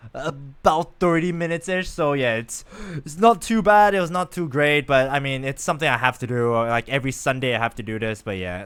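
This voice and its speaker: A man with a fairly high, clean and smooth voice hosts a game livestream. He talks in a casual, rambling way and often circles back to repeat a phrase for emphasis. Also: fairly bright tone.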